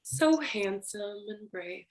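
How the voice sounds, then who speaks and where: A woman playing a frightened, flirtatious femme fatale wife in a staged noir parody, with drawn-out melodramatic delivery. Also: mid-range voice, animated. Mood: admiring, flirtatious. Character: frightened, seductive femme fatale wife, breathy damsel in distress.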